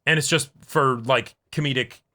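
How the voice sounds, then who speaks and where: A man's voice: medium pitch, fairly even intonation, moderate pace, bright, forceful tone. A man co-hosting a casual back-and-forth discussion, agreeable and conversational in the way he builds on points. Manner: agreeable, with frequent short affirmations. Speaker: reaction show co-host.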